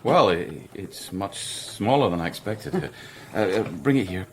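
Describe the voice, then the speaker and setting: Hesitant Victorian scientist, stammer, deep-ish voice with animated swings, awkward yet driven, radio play. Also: male voice, measured pace, noisy background. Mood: eager, excited. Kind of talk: radio drama acting.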